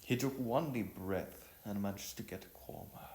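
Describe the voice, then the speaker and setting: A man narrating an audio story in a halting way, often repeating phrases. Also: on the low side, darkish tone. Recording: echoey room with music underneath. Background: music.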